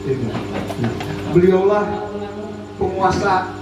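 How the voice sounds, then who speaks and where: Chatty officer in an echoey room. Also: male voice, sits high, lively intonation, slow. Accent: Indonesian, not typically Sundanese. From West Java. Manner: chatty, frequent "ya kan" and "nah" fillers. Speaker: army district officer addressing a radio community meeting. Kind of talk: informal speech to a gathering. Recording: echoey room, distant mic, music behind.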